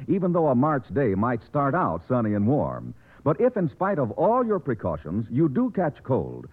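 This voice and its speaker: A thin, narrow-band old radio recording of an American man. He reads a scripted 1940s commercial as an announcer, at a medium pitch with very animated intonation.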